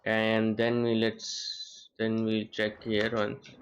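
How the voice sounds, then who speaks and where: A man's voice: fairly low pitch, completely flat delivery, takes it slowly, dull audio, slight background noise. A man giving casual live gameplay commentary as a streamer, thinking aloud in a rambling way and repeating phrases. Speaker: game streamer. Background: game music and character voices.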